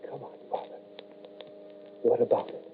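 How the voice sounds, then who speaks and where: A man's voice: normal speed, natural voice. A man playing a sly, coaxing shapeshifter son in a 1940s radio drama. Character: sly undead son who shapeshifts into a dog. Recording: muffled, noisy old radio recording.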